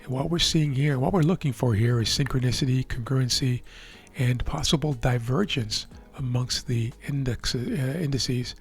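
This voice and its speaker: A man with a hoarse, worn voice calmly explaining the markets as a trading educator, often checking in with the listener. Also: mid-range voice, moderate pace, hardly any pauses. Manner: relaxed, explanatory, checks in with "right?". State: hoarse, losing his voice. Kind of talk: live market commentary over a chart screen.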